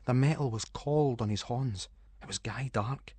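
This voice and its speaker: A Scottish man narrating a ghost story in Scots dialect, with an expressive, suspenseful storytelling delivery. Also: mid-range voice, moderate pace, husky, dry recording. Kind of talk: dramatic scripted narration of a ghost story for schools radio.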